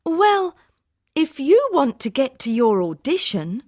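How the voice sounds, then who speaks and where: Desperate young female traveller for audiobook dialogue: anxious, pleading, very expressive, unhurried. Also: high voice, no room sound, clean studio sound, heavily acted. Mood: insistent.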